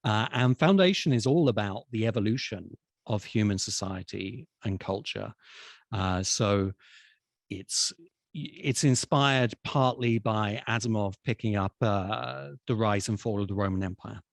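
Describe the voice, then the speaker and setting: Male expert guest, lowish voice, deliberate explainer, very dry sound. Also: creaky, dry recording. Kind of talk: explanatory conversation.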